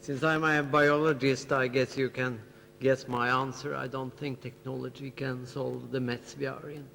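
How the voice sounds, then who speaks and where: A man's voice: medium pitch, little pitch movement, moderate pace, vocal fry, a bit bright. A man speaking as a biologist on a debate panel, earnest and emphatic, driving his point home with repeated phrases. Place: lecture hall at a student festival. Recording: hall reverb with faint hum.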